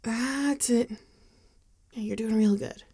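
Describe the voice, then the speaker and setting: A woman playing a gentle, rueful vampire bartender in an intimate ASMR roleplay, soft-spoken and hesitant, often dropping into a whisper. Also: on the high side, slow pace, slightly rough, heavily acted. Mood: tender, careful. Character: gentle, rueful female vampire bartender tending a wounded companion.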